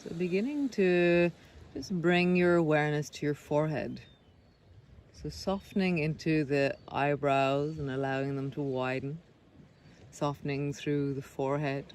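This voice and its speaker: A man leading a guided body scan meditation, speaking gently and unhurriedly with pauses between phrases. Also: on the high side. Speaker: meditation guide, breath coach. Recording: clear background noise of sea sounds. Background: sea sounds.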